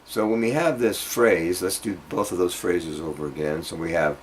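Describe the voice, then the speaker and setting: A man giving an informal guitar lesson, explaining haltingly and checking in with the listener as he demonstrates phrases. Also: deep voice. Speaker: guitar teacher. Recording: loud acoustic guitar alongside the voice. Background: guitar being played between phrases.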